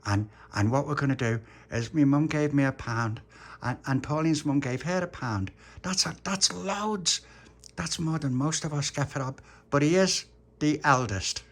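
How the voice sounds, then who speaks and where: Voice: mid-range voice, moderate pace, voice pushed up, lightly acted. A storyteller voicing a cheeky, protective little boy who leads his friends. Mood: excited.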